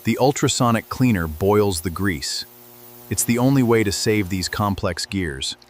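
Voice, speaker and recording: Low-voiced man narrating over clear noise. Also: animated, measured pace. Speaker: narrator of restoration video. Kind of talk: scripted narration. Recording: noticeable background noise.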